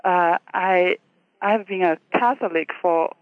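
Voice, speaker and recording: A woman from China and Taiwan, calling into a radio show and speaking haltingly with many fillers. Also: lowish voice. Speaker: caller. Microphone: telephone. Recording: tinny phone line.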